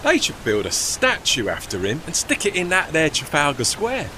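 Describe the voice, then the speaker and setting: A man's voice: a little high, wildly swooping pitch, moderate pace. Man as working-class tugboat, radio play, cheeky, proud, folksy, bouncy intonation, slight background noise. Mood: curious. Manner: colloquial, folksy, non-standard grammar. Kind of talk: audio drama acting.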